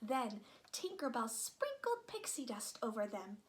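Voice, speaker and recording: High, lively woman reading a storybook. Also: a little slow, a little hiss or hum. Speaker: storybook reader. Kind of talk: expressive read-aloud children's narration.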